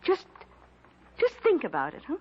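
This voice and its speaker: A woman's voice: high-pitched, huge pitch swings, normal speed, a little mellow. An actress playing a sly, genteel, older scheming woman in an old-time radio drama, mock-polite, florid and smugly amused. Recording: muffled vintage radio recording.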